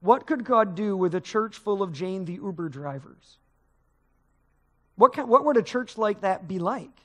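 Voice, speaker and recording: A man's voice: high-pitched, animated, a little slow. A middle-aged man, a pastor, preaching a sermon on stage in a large church sanctuary, in a conversational manner that rises with emphasis. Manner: conversational preaching, rising with emphasis, gesturing while pacing the stage.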